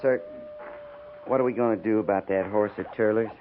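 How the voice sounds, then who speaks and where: A man's voice: fairly low pitch, fairly even intonation, fairly quick, noisy background, lightly acted. An American man playing a plain-spoken veteran cavalry sergeant in a 1950s radio western, blunt and matter-of-fact. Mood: concerned, grave. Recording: tinny, phone-like 1950s radio recording.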